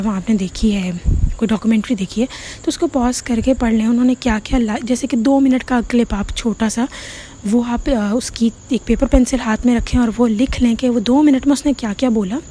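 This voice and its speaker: A woman's voice: a little high, dark tone, slight background noise. A woman from Pakistan with a Pakistani Urdu accent, giving casual English-learning advice to viewers, often checking in with "theek hai?" and mixing in English words. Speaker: online English teacher.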